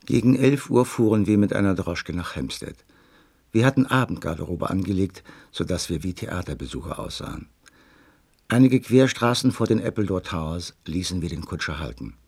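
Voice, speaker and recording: Husky, expressive loyal companion. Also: male voice, deep voice, normal speed, exaggerated voice. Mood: calm narrating. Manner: earnest, expressive. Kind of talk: German radio drama acting and narration.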